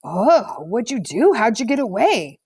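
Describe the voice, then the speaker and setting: A woman's voice: on the high side, very animated, unhurried, darkish tone, husky, no room sound, voice pushed up, exaggerated voice. An inquisitive schoolgirl from a storybook, played by a woman reader. She sounds skeptical and keeps pressing for answers, in a dry, close recording. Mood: amazed, curious. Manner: questioning, pressing. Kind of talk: storybook character voice.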